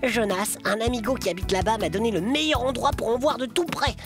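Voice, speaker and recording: A woman voicing an excitable boy teddy bear puppet with a Belgian French accent, talking in an enthusiastic, slangy way. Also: fairly high pitch, fairly quick, lightly acted. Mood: excited. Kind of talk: children's puppet show. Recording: music behind the voice.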